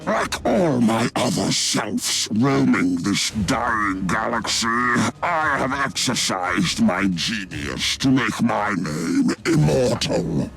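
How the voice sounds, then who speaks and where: An actor voicing a grandiose, scheming mad-scientist villain in a theatrical video game style. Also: male voice, fairly low pitch, big pitch swings, slow pace, creaky, hardly any pauses, fairly bright tone, heavily acted. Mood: gloating, grandiose. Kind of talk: dramatic video game cutscene acting. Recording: music behind the voice. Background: game soundtrack music.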